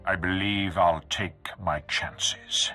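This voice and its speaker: An actor playing a grave, calculating dark councillor in a video game, speaking in a measured, deliberate, aloof way. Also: male voice, sits low, steady tone, full character voice. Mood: cool. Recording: music under the voice.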